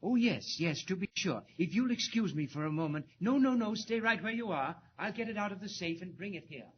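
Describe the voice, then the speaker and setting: A man's voice: sits high, lively intonation, fairly quick. A man playing a courteous, slightly fussy inventor in a 1940s radio serial. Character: older male scientist, courteous inventor. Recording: dull old radio transcription with hiss.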